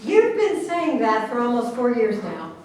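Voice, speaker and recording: In a very echoey room, away from the mic, a woman acts out a firm female presidential candidate on stage. The voice is fairly high. She sounds earnest, accusing and indignant. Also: very animated, unhurried, a little mellow. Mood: reproachful. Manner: reproachful, earnest. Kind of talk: staged play reading, also reads stage directions. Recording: echoey room, distant mic, faint noise.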